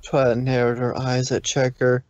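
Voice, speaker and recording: Guy reading fanfic out loud, dull lo-fi mic, dry sound. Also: medium pitch, normal speed, no echo. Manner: relaxed reading with slips and restarts. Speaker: narrator. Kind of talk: casual fan fiction read-aloud. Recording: dull dry lo-fi stream audio.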